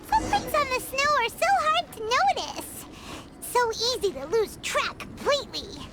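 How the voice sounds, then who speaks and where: A woman voicing a small, excitable, impatient fairy-like girl companion in a video game, squeaky and childlike, with bubbly exclamations. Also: a little slow, background noise, exaggerated voice. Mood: excited. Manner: bubbly, exclaiming, refers to self in third person. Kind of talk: video game character voice acting. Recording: game music behind the voice.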